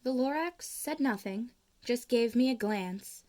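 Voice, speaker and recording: A woman reading a children's storybook aloud in an expressive style. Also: fairly high pitch, unhurried. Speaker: storybook reader.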